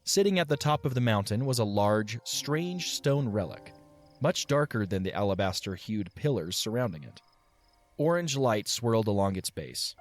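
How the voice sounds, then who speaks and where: A man narrating an audio drama in a measured, expressive storybook style. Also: lowish voice, soft background music.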